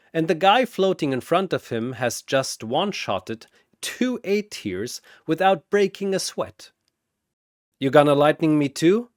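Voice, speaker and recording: A man delivers dramatic scripted battle narration at a slow, deliberate pace. His pitch swings widely, and the sound is close and dry. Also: no echo.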